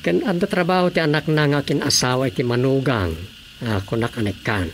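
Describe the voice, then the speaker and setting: Man playing gravelly village official, patiently explaining. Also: medium pitch, fairly quick, slight background noise, natural voice. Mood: confiding. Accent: Ilocano. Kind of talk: Ilocano radio drama comedy acting.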